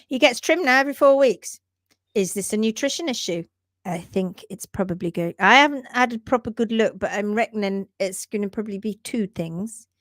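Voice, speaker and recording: A chatty woman hosts a live hoof-care stream with a fairly high voice, words tumbling out fast and doubled back on themselves. She laughs easily between points. The sound is close and dry with no room echo. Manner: rapid-fire, repeats words, chatty; laughs often. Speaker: livestream host, hoof care teacher. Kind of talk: casual live-stream teaching and reading viewer posts. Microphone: livestream setup.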